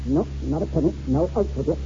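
An actor in a 1940s radio drama playing a stern, dry, admonishing lawyer with a kind streak. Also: male voice, on the high side, fast, dark tone, a little hiss or hum, lightly acted. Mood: stern, scolding. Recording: dull, muffled 1940s radio recording.

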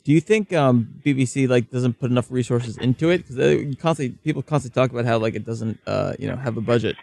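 A man's voice: medium pitch, fast, gravelly, a little mellow, dry recording. A man hosting a podcast interview, putting questions in a casual, conversational way.

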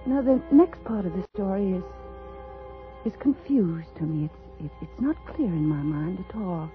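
A woman's voice: lowish voice, lively intonation, narrow, tinny audio, natural voice. Actress as an intense, unstable woman, vintage radio sound. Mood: brooding, halting. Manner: dramatic, intense, halting. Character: unstable woman named Jane telling a haunting story. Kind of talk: 1950s radio suspense acting. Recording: thin vintage radio recording with music.

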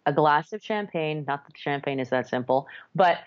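Woman, grew up in France, low voice, muffled lo-fi sound, thoughtful, restarts sentences, podcast guest. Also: lively intonation, moderate pace. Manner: thoughtful, many restarts and self-corrections. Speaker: guest, jazz band leader. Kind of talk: conversational podcast interview.

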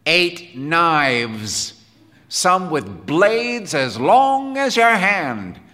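A man voicing a gruff, hard-nosed, angry father in an audiobook, with blunt, folksy, forceful talk. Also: a little high, big pitch swings, extremely slow, long reverb tail, pitched above the performer's own voice, exaggerated voice. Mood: heated. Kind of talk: audiobook character dialogue.

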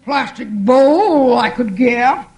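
A woman's voice: fairly high pitch, animated, slow pace, a little mellow. A woman playing a brash, demanding, unimpressed audience member in a 1950s radio comedy sketch, blunt and complaining. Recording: noisy vintage 1950s radio recording.

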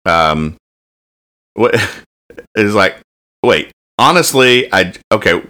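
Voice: low voice, lively intonation, extremely slow, pushed and bright. A podcast host chatting casually and jokingly about comic strips, often restarting as thoughts form.

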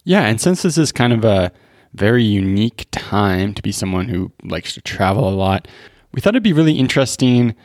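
A man co-hosts a podcast in a loose, rambling way, with lots of fillers. His voice sits fairly low and the sound is close and dry. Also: sing-song, moderate pace, darkish tone, no room sound. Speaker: podcast co-host. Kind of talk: casual podcast chat.

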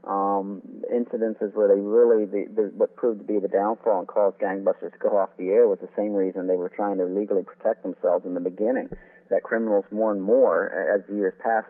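Guy with a lowish voice doing an interview over a tinny phone line, explains everything in long rambling detail. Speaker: author and radio historian, interview guest.

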